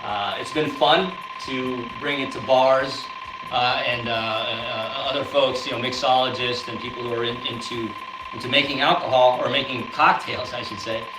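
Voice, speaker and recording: A man presents a product casually on a live stream. He speaks haltingly and informally, repeating words as he goes, in a medium-pitched voice. The sound is roomy, with obvious noise behind him. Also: steady tone. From born in the Philippines, grew up in the US. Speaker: guest business founder demonstrating a product.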